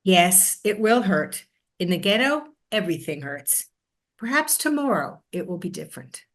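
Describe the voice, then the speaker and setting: A woman's voice: fairly low pitch, big pitch swings, normal speed, a bit bright. A woman playing a brusque, weary doctor in a stage drama, speaking in blunt, clipped phrases.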